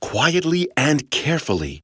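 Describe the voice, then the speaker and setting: A woman's voice: deep voice, sing-song, measured pace, fairly bright tone, husky, dry recording, studio quality. A woman narrating a children's story expressively as a storyteller.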